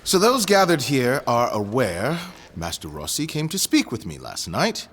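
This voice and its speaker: A man playing a formal guild master presiding sternly over an inquiry in an audio drama, with measured, courtly, probing speech. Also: mid-range voice, huge pitch swings, a bit bright. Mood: formal, commanding. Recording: long hall reverb.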